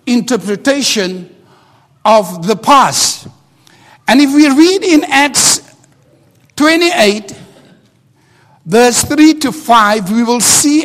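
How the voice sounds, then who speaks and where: A man whose first language is Afrikaans, preaching a sermon in English with halting restarts and rising emphasis. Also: extremely high pitch. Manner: starts quiet and halting, builds to loud emphatic preaching. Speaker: pastor. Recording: long hall reverb.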